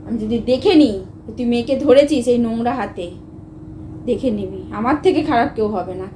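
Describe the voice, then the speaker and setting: Young wife in a domestic row: high-pitched, agitated, scolding delivery, recorded in a reverberant room. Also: female voice, fairly quick, mellow. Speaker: wife in a family prank video. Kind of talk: heated domestic argument. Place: indoor room at home. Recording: echoey room, faint background noise.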